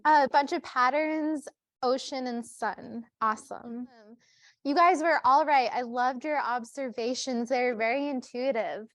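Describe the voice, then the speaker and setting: A woman with a fairly high voice talks casually to a group of students. She is encouraging, echoing back what she hears. The sound is very dry. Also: unhurried, fairly bright tone. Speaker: guest artist. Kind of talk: casual talk to school group.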